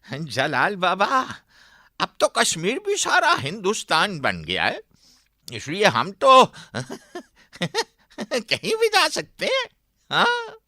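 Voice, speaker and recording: Gloating, swooping high-voiced moneylender. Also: male voice, wildly swooping pitch, gravelly, pushed and bright, dry recording, studio quality, exaggerated voice. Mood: mocking. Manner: sneering, drawn-out, with repeated phrases and mocking chuckles. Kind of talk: radio drama acting.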